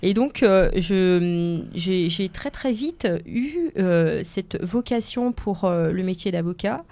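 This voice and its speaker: A woman's voice: on the low side, animated, slow, warm tone. A woman in her forties, native Italian speaker, answering interview questions as a lawyer guest on the radio, hesitant with many fillers and careful phrasing. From France, Seine-et-Marne. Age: 40s.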